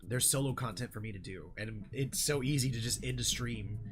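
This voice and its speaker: A hoarse young man streaming a game, chatting casually with viewers in a rambling way with lots of fillers. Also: mid-range voice, a little fast. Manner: rambling, frequent fillers, reads chat aloud. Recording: game music behind the voice.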